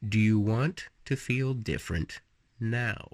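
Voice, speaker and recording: A man leading a guided relaxation meditation with storytelling, speaking slowly and soothingly in an instructive way with pauses. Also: fairly low pitch, no echo.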